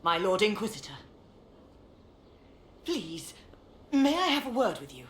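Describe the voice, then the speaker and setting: An actress playing an urgent, pleading senior priestess in a video game, with a formal, insistent manner. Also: female voice, mid-range voice, big pitch swings, unhurried, a little hiss or hum. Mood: pleading, solemn. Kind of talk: dramatic video game dialogue. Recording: roomy echo with background ambience.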